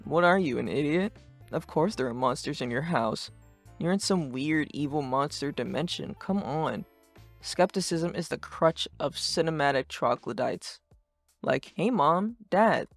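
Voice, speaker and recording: A performer voicing a brash, sarcastic, too-cool teenage boy who rants and swears with deadpan cool in a fan-dubbed comic. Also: medium pitch, normal speed, lightly acted. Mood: scornful ranting. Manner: foul-mouthed, ranting, deadpan cool. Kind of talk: fandub of a webcomic chat. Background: music.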